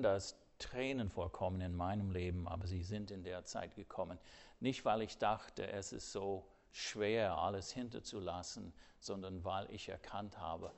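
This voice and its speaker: An older man preaching a sermon earnestly, swinging between loud emphasis and hushed, quiet asides. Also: low-pitched, measured pace.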